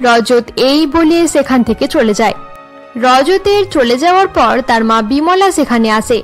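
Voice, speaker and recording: A woman narrator tells a cartoon story as scripted narration. Her voice is very high, with faint music playing behind it. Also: animated, moderate pace.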